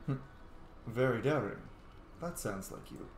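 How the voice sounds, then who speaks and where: A man hosts a casual game playthrough, talking informally and reacting aloud. His mid-pitched voice swings about in an animated way. The recording is quite echoey, with slight noise underneath. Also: measured pace. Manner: informal, reacts aloud, mutters on-screen text. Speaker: gaming video host, player. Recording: roomy echo, faint background noise.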